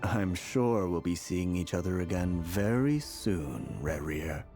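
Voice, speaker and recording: An actor playing a grave, mysterious, weary prisoner in a video game, speaking solemnly and deliberately. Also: male voice, low voice, lively intonation, music in the background, exaggerated voice. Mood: solemn, warning. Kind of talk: scripted video game dialogue.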